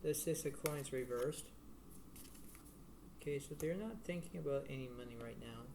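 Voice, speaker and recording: A man giving a casual tarot reading to the viewer in a halting, repetitive, rambling way. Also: vocal fry. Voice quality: low-volume voice. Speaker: tarot card reader. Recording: noisy homemade recording with room ambience.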